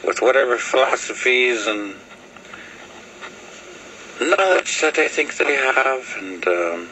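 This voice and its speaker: A man whose first language is Lakota, talking casually to a video camera in halting English, often pausing with fillers as he searches for words. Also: medium pitch, expressive, pushed and bright, slight background noise, rough recording. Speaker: vlogger. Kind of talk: casual rambling talk to camera. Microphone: video camera mic.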